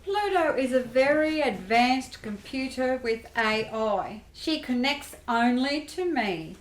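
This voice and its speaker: A streetwise young female grifter played by a woman with a highish voice in a roomy, echoey recording. She speaks candidly and plainly, like a resilient survivor in a radio play. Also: expressive, slow pace. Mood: candid storytelling.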